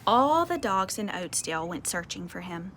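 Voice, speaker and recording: A woman reading a picture book aloud to children outdoors, expressive, with conversational explanatory asides. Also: medium pitch, normal speed. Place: outdoors on a porch. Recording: faint outdoor ambient noise.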